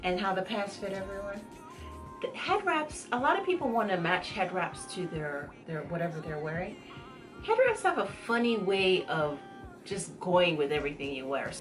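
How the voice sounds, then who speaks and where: Lower-pitched woman over background music. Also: very animated, normal speed. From Uganda. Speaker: guest head wrap vendor giving tutorial. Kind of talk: casual tutorial chat. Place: small indoor room, sequin backdrop. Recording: music playing behind the voice.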